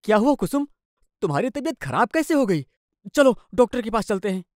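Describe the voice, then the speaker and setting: A man's voice: sits high, sing-song, fairly quick, no room sound, studio quality. A man playing a concerned young husband, earnest and insistent, in a cartoon moral story.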